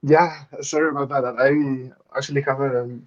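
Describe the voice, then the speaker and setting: A man's voice: mid-range voice, fairly even intonation, normal speed. A man giving an informal update to a coaching group as a freelancer on an online call. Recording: slightly dull video call audio.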